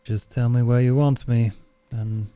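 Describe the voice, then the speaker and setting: A man voicing a stoic, obliging vampire brawler in a tabletop game, speaking tersely and deliberately. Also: medium pitch, steady tone, mellow, smooth, dry recording, lightly acted.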